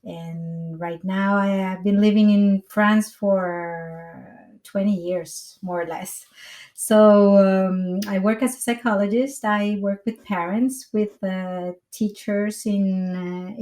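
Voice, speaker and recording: Slow-talking Colombian woman, roomy sound. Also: mid-range voice, a little mellow. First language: Spanish. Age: 40s. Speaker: guest, psychologist. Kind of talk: casual interview talk. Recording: roomy echo, distant from microphone.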